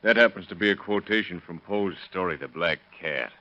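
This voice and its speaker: A stern detective in a 1930s radio drama. The voice of this man is low and forceful, with dry authority, on a muffled old recording. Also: brisk. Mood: skeptical, firm. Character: calm, stern, skeptical police detective.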